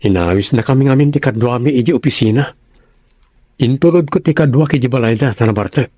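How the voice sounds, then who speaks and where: A man's voice: mid-range voice, a little fast, dark tone, no room sound, clean studio sound, close to an everyday voice. A man playing a mild, affectionate young office worker in an audio drama, in a conversational tone. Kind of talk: Ilocano radio-style drama dialogue.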